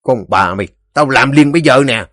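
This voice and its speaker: A man voicing a belligerent, boastful village drunkard in a storybook, cursing roughly with a Southern Vietnamese accent. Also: mid-range voice, lively intonation, brisk, a bit bright, dry recording, clean studio sound. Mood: furious, threatening. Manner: blustering, cursing, rough rural speech.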